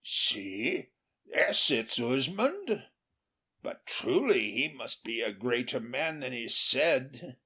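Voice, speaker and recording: Man doing a blunt peasant charcoal burner, animated. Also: mid-range voice, slow pace, slightly rough, heavily acted. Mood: plain, frank. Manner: blunt, plain-spoken. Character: poor, blunt, honest peasant charcoal burner, hospitable but gruff.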